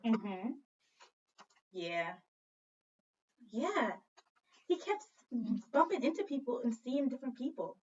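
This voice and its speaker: A woman teacher doing an expressive read-aloud for children, telling the story dramatically and prompting listeners with questions. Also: high voice, takes it slowly, long pauses, a little hiss or hum. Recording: dull lo-fi sound, distant from mic.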